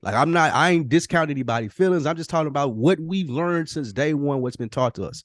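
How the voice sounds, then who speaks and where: A man's voice: a little high, quick pace, dry recording, clean studio sound. A man co-hosting a podcast, talking casually and emphatically in blunt, slangy, profane language. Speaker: podcast co-host. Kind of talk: casual opinionated podcast conversation.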